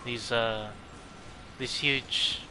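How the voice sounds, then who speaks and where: Slow-paced man commenting on his game. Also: medium pitch, fairly even intonation, heavy background noise. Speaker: game streamer. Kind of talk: casual gameplay commentary. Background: game music.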